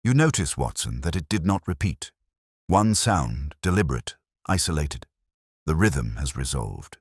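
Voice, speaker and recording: A man voicing a calm, philosophical gentleman detective in an audiobook, speaking deliberately and with quiet reason. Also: rumbling low, breathy edge, no echo, clean studio sound, heavily acted. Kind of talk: audiobook character dialogue.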